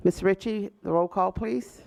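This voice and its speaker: A man chairing a public school board meeting, welcoming guests and running the proceedings in a measured, procedural way. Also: high voice, fairly even intonation, gravelly, darkish tone.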